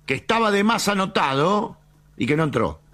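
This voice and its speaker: An Argentine man on a sports panel who lays out legal arguments step by step. His pitch swings widely as he talks, rising and falling through each point. He cuts in on the other speaker to press his case. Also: sing-song, a bit bright. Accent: Argentine Spanish. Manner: argumentative, measured, interrupts to make legal points. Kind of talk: heated football debate.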